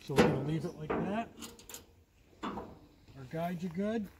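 Higher-voiced man explaining outdoors over noise. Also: lively intonation, measured pace. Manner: plain-spoken, explanatory while working. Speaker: vlogger demonstrating his sawmill. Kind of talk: casual hands-on demonstration. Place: outdoors in a wooded clearing. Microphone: camera a few steps away. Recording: outdoor camera audio, clear background noise.

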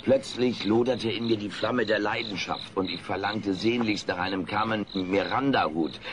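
A man's voice: lowish voice, normal speed, a loud crowd, natural voice. A man voicing a brash, whiny alien troublemaker in a melodramatic comedy radio play. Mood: melodramatic pleading. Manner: melodramatic, mock-tragic.

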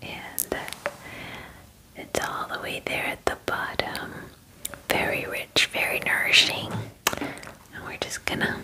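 A young woman in her twenties speaks in a soft, breathy whisper, very close to the microphone. She takes her time, drawing out her phrases in a gentle, chatty way, as in an ASMR pampering roleplay. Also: rough recording. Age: 20s. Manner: slow, gentle, chatty and unhurried. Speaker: ASMR performer playing a werewolf spa groomer. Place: small indoor room.

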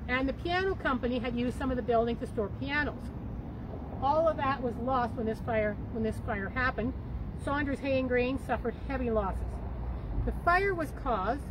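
A middle-aged woman with a high voice reads a local history tour from paper notes, explaining each detail with casual asides. She speaks into a handheld mic outside on a sidewalk. Passing traffic and street noise sound clearly behind her. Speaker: walking tour guide. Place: outdoors on a city sidewalk. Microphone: handheld mic with foam windscreen.

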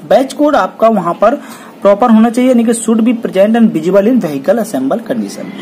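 Female instructor, mellow tone, faint hiss; walks through a checklist point by point, confirming each with "okay". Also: medium pitch, lively intonation, unhurried, poor audio. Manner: repeats "ठीक है" after each point, reads English terms then explains in Hindi. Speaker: welding instructor. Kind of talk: technical lesson explaining a checklist.

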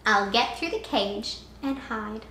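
A calm, clever young boy answers each threat cheerfully, as a woman puts him on. His voice is bright and high. It echoes a little in the room. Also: slow, a little hiss or hum, full character voice.

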